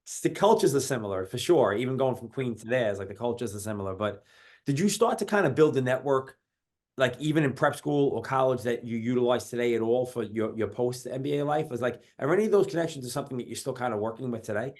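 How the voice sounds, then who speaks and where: A man in his forties from New York hosts a podcast interview. He talks fast and loosely, stringing his questions together in long run-ons. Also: medium pitch, animated, a little mellow. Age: 40s. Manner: quick, chatty, rambling questions. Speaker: podcast host. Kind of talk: casual interview.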